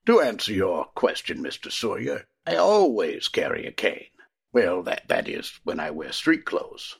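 Husky old Santa Claus played by a man. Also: on the low side, huge pitch swings, a little slow, exaggerated voice. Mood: polite. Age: older. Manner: polite, gently probing. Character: kindly, shrewd old man who claims to be Santa. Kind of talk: comic radio drama acting.

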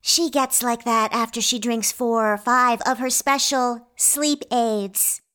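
Woman as a high-voiced, world-weary little girl. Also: steady tone, slow, bright, forceful tone. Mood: deadpan. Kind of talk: comic radio play acting.

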